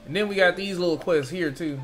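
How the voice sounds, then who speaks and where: A man's voice: high-pitched, fairly quick, soft background music, low-quality recording. A man streaming a video game, chatting informally and thinking aloud as he plays. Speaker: gaming streamer. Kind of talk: casual gameplay commentary. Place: behind: game music.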